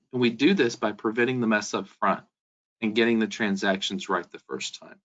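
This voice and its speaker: A man giving an explanatory webinar presentation, speaking directly to his listeners as a business software presenter. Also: lowish voice, expressive, moderate pace. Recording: dull, band-limited webinar audio.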